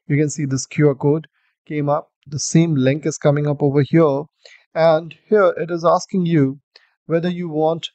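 A man with a fairly high voice walks through a coding tutorial, explaining each step steadily. The recording is dull and dry, with no room sound. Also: dry recording. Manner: steady explanatory walkthrough with fillers. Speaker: coding instructor.

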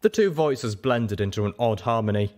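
A man's voice: lowish voice, lively intonation, normal speed, crowd noise. A man reading a story aloud as scripted first-person narration.

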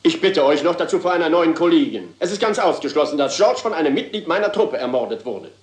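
An actor playing a middle-aged theatre director in a German radio crime drama, courtly, flowery and placating. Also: male voice, a little high, very animated, fast. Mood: agitated. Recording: roomy, reverberant radio play sound.

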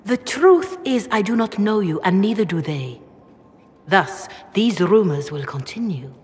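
A woman's voice: medium pitch, animated, unhurried, a little mellow, natural voice. An actress playing a solemn, formal revered mother in a fantasy game, deferential yet guarded. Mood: disapproving. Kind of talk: video game cutscene dialogue. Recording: long reverb, noisy ambience behind.

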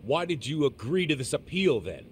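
An actor playing a stern middle-aged judge who speaks tersely and formally in a courtroom drama game. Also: male voice, on the high side, big pitch swings, moderate pace, faint music behind. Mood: formal, neutral. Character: stern, impassive male judge.

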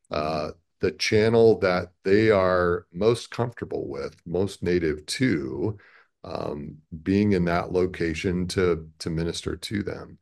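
Deep, gravelly middle-aged man. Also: darkish tone. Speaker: radio station president, interview guest. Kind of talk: conversational interview answers. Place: small indoor office, on a video call.

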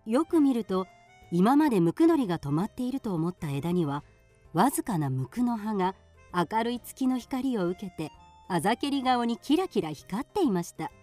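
Lady narrating an old folk tale for radio, soft mellow voice, expressive. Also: medium pitch, moderate pace, soft background music. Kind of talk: scripted storybook narration for a radio drama.

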